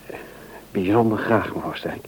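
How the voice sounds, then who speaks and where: An actor playing a courteous, hesitant music shop employee in a naturalistic radio play. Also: male voice, lowish voice, natural voice. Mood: uneasy, hesitant. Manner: hesitant, halting, polite. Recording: hissy, noisy old radio play recording.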